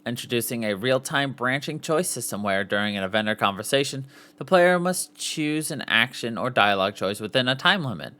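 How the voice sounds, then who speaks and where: A man with a mid-pitched voice reads written material aloud as a podcast host. His delivery is informal, with the odd off-the-cuff aside and self-correction as he works through the text. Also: animated, fairly quick, hardly any pauses, sharp tone, clean tone. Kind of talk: reading an article aloud with casual asides.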